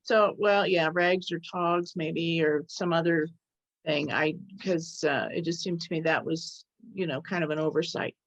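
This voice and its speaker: A woman giving casual notes on a video call, halting as she searches for words. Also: sits low, fairly even intonation. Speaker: participant giving script notes. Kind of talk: casual, unscripted critique. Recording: dull, lo-fi video call audio.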